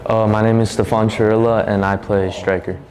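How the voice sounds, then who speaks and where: A teenage male soccer player giving earnest interview answers with filler pauses. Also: fairly low pitch, level tone, crowd noise. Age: teens.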